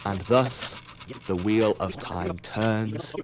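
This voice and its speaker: Man acting wry, low-pitched ominous storyteller. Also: extremely slow, background noise. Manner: dramatic, sardonic. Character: wry, ominous, all-seeing storyteller of a sci-fi tale. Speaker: narrator. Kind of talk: scripted audio drama narration.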